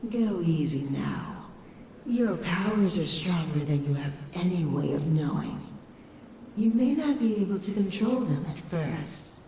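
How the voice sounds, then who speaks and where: A woman's voice: fairly low pitch, animated, a little slow. A woman voicing a sinister, manipulative shadow creature in a comic dub, speaking in a coaxing, ominous manner. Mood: encouraging, sly. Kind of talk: dramatic comic dub acting. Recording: echoing hall reverb, distant, faint noise.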